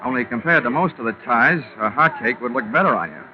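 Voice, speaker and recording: Wry, flirtatious American private investigator played by a man, radio-drama narration, deadpan delivery, dull vintage recording. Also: medium pitch, fast talker, natural voice. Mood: dry, probing. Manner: deadpan, wisecracking. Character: wry, flirtatious male insurance investigator, hard-boiled wisecracker. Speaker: lead actor and narrator of a detective serial. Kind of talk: old time radio drama acting, first-person narration. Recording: muffled vintage radio recording, music behind.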